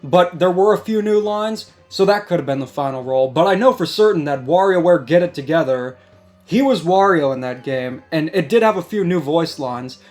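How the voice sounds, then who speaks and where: A man with a fairly high voice talks through points in a measured, explanatory way. It is casual co-host chat, with faint music behind him. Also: animated, a little mellow. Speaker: co-host of a gaming discussion. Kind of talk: casual discussion. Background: background music.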